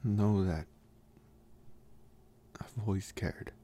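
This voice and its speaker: A young man playing a protective bully with a secretly tender side in an intimate ASMR roleplay, speaking softly and haltingly with gruff care. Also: lowish voice. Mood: bashful, tender. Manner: halting, soft-spoken, gruffly caring.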